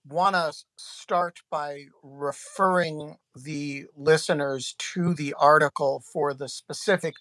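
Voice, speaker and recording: Podcast host, male, halting with restarts, dry close sound. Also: a little high, fairly bright tone, dry recording. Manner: halting, many fillers and restarts. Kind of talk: podcast interview.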